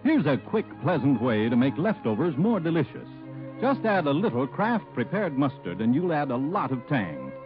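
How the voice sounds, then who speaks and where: Tinny, lively American radio pitchman. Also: moderate pace. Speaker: commercial announcer. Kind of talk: scripted 1950s radio advertising. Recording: tinny, band-limited old radio. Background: music.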